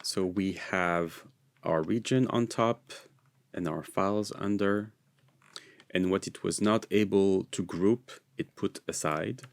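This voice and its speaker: Low, creaky non-native man explaining software. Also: takes it slowly. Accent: non-native English, American-leaning. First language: not English. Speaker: software tutorial presenter. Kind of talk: screencast tutorial narration.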